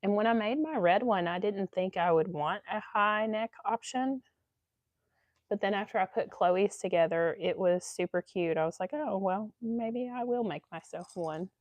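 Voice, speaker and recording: A woman's voice: medium pitch, normal speed, dry recording. A woman hosting a casual sewing livestream, stuffy from allergies, chatting along with lots of ums. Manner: chatty, rambling with many ums. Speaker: sewing channel host.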